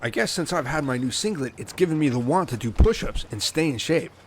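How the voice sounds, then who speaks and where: A man's voice: a little high, expressive, fairly quick, a little hiss or hum, close to an everyday voice. A man playing an earnest castaway with emu legs in improvised comedy audio, speaking absurdities matter-of-factly.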